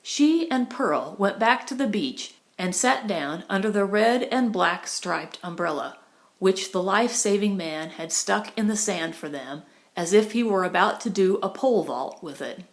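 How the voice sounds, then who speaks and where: A woman's voice: medium pitch, animated, measured pace. A woman reading a novel as an audiobook narrator, in a scripted storytelling style. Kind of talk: scripted narration of a 1920s romantic comedy novel.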